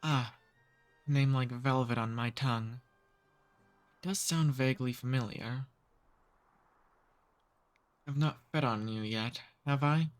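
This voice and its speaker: A man's voice: mid-range voice, faint music behind, natural voice. Man playing a courtly, seductive male vampire. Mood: seductive, teasing. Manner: courtly, slow seductive teasing. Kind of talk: ASMR roleplay, intimate address to listener.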